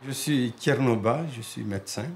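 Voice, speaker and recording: An older man from Guinea, a doctor, recounting his exile in a measured, reflective way. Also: medium pitch, a little hiss or hum. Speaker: doctor, interviewee. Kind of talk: testimony.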